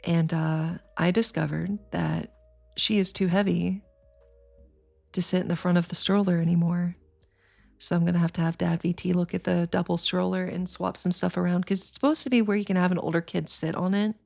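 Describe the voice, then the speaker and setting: A woman's voice: lowish voice, fairly even intonation, normal speed, gravelly, darkish tone. A tired woman in her thirties, sniffly and congested, chatting casually with her livestream viewers in a rambling way. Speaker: streamer.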